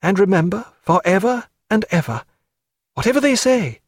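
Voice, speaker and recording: A man's voice: high-pitched, expressive, moderate pace, no echo, voice pushed up. A man voicing an earnest, tender young woman. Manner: soft, pleading.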